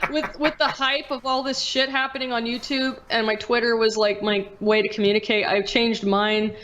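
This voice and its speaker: A woman's voice: a little high, flat delivery, moderate pace, a bit bright, lo-fi sound, a little hiss or hum. A woman talking casually and informally as a podcast host, with opinions and some swearing. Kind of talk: casual opinionated chat about gaming.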